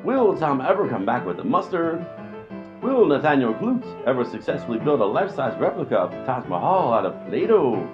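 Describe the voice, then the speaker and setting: A man's voice: mid-range voice, big pitch swings, fairly quick. A man working as announcer, reading scripted narration for a comedy serial in mock-dramatic style. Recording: dull lo-fi audio, music behind.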